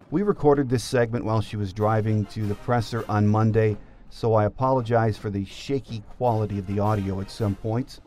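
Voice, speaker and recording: A man's voice: on the low side, dark tone. Male sports podcast host over faint music. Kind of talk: scripted sports commentary monologue.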